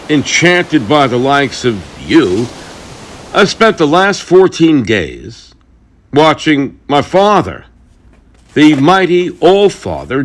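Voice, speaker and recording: A man playing a weary, brooding thunder god in a mythic audio drama, confiding and halting in his burdened role as protector. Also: on the high side, a little hiss or hum.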